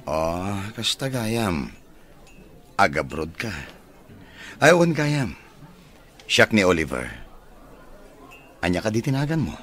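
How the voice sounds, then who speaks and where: An actor with an Ilocano Filipino accent playing an eager, polite, smitten bachelor in a radio comedy drama. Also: male voice, on the low side, sing-song, slow, pauses often, a bit bright, heavily acted. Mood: chatty, courting.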